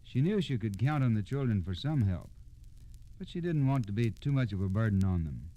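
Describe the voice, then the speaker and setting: Dark-toned, low American radio storyteller, male. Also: sing-song, brisk, rough recording. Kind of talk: scripted old-time radio narration.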